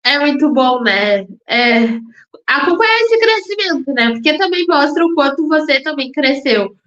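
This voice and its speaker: A woman's voice: high-pitched, lively intonation, normal speed, a bit bright. A woman hosting a podcast interview, upbeat and chatty, often repeating words for emphasis. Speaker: podcast host. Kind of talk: casual interview chat. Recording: echoey, dull lo-fi recording.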